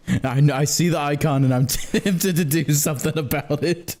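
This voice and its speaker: A man's voice: a little high, moderate pace, darkish tone. A man hosting a casual gaming livestream, chatting playfully and joking as he plays. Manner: playful, jokey. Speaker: livestream host playing a game. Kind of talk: casual gaming stream chat. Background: music.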